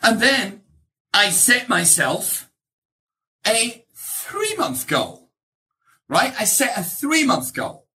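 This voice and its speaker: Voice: mid-range voice, wildly swooping pitch, slow pace, pauses often, sharp tone. A middle-aged speaker from England giving a motivational talk to an audience in an emphatic, conversational way, often checking in with short rhetorical tags. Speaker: motivational speaker, real estate agent.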